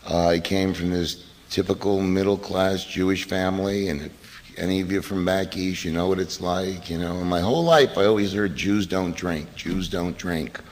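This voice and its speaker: Middle-aged man, very deep voice, blunt joking storyteller at a meeting, echo of a hall. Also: fairly even intonation, moderate pace. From US East Coast. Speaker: AA meeting speaker. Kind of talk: recovery talk to a meeting. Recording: hall reverb from a PA.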